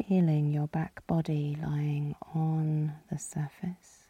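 A woman leading a guided yoga nidra relaxation as the guide, calm and soothing, with a soft, breathy, husky voice. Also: low-pitched, slow, no room sound, dull audio. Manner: calm, soothing, gently instructing.

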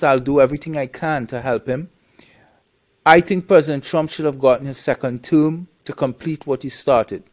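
A man from Trinidad, a guest on the show, talks bluntly in a casual interview, with many restarts and fillers. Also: medium pitch.

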